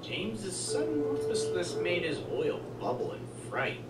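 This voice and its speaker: A man's voice: sits high, wildly swooping pitch, a little slow, heavy background noise. A man reading scripted narration for a children's-style train story. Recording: roomy, distant playback under loud music.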